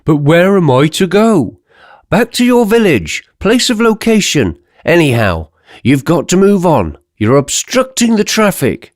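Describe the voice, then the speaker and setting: A man voicing a brisk, officious male police inspector who gives curt orders. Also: high-pitched, sing-song, no echo, clean studio sound, voice pushed up. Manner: curt, commanding.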